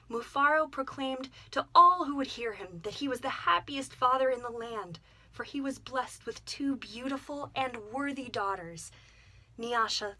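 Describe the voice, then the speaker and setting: Young woman storyteller, warm read-aloud manner for children, pitched a touch high. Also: low-quality recording. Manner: gentle, expressive storytelling. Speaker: storyteller, voice actor.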